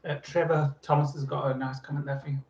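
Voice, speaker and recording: A man's voice: on the high side, little pitch movement, fast, darkish tone. Playfully stubborn man in his forties. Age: 40s. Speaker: co-host of a live stream. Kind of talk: casual live chat. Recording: echoey, slightly dull live stream sound.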